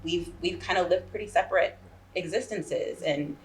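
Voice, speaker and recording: A woman's voice: lowish voice, normal speed, poor audio. A woman giving conversational interview answers as a guest playwright, often checking in with the listener. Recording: faint background room noise.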